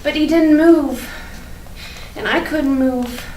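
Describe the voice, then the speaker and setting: A woman playing an innocent, chatty but fearful first-grade girl in a theatrical monologue, halting and repeating her words. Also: high voice, a little mellow. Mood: frightened. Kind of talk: theatrical monologue at a staged reading. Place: indoor room at a live staged reading. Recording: roomy, distant, noisy live stage recording.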